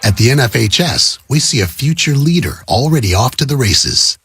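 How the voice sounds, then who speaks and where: A man reading a scripted public service spot in a polished, measured, inspirational way. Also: mid-range voice, expressive, a bit bright. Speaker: advert announcer. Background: prominent music.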